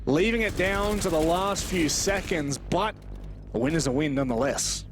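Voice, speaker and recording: A man's voice: a little high, moderate pace. A man giving live esports commentary with informal, self-deprecating banter. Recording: game gunfire noise behind voice. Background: video game gunfire.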